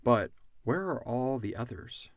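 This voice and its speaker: A man's voice: medium pitch, animated, unhurried, dry recording, clean studio sound. A man co-hosting a casual question-and-answer podcast about an audio drama, informal and slangy as he thinks aloud.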